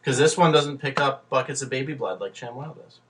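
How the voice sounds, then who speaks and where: A man co-hosting a podcast in casual banter, joking and doing mock infomercial pitches. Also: fairly quick, fairly bright tone. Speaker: podcast co-host. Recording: distant mic with faint noise.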